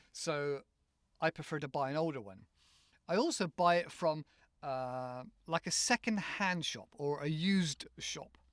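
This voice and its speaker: A man's voice: on the high side, fairly bright tone, smooth, no echo, studio quality. English man hosting an unscripted podcast. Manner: rambling, self-correcting, casual. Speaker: podcast host.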